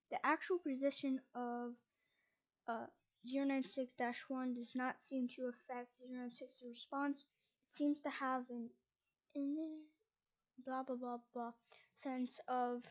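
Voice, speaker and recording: A woman's voice: sits high, a little mellow. A woman reading a document aloud, stumbling over words and restarting lines as she goes. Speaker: reader.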